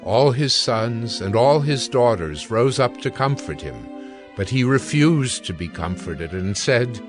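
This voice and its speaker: A man's voice: medium pitch, expressive. Solemn male Bible narrator over music. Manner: measured, solemn storytelling. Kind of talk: scripted dramatic Bible narration.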